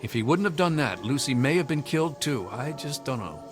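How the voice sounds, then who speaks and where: A man's voice: medium pitch, sing-song, a little fast, close to an everyday voice. An actor playing a troubled, earnest young man haunted by hunger, confiding hesitantly as video game dialogue. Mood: haunted recollection. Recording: music behind the voice.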